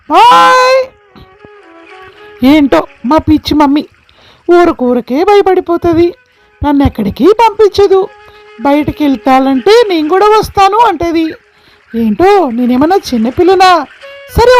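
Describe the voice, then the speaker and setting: A man's voice: extremely high pitch, exaggerated voice, rough recording. A man voicing a cheeky, headstrong young female fawn in a storybook tale, whiny and sing-song.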